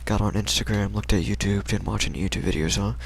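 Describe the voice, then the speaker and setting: A man's voice: lowish voice, steady tone, quick pace, vocal fry, a little hiss or hum. A young American man casually telling a personal story in a rambling, slangy, hesitant way. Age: young adult.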